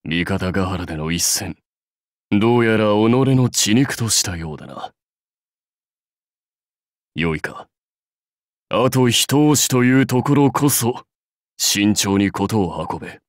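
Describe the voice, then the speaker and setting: An actor playing a proud, seasoned samurai warlord in a game, speaking in stately, measured, archaic phrases with wry authority. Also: male voice, on the low side, expressive, no echo, clean studio sound. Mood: grave, advising. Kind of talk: scripted game character lines.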